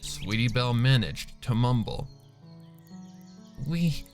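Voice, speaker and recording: A man reads fan fiction aloud as a dramatic narrator, at an unhurried pace with very lively intonation. His pitch is average, and soft music runs underneath. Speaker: story narrator. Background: faint music.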